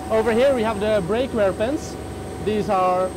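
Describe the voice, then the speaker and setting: A man with a high voice gives an explanatory walk-around as an airline pilot. He is outdoors by a parked plane, with very loud engine noise around him. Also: unhurried.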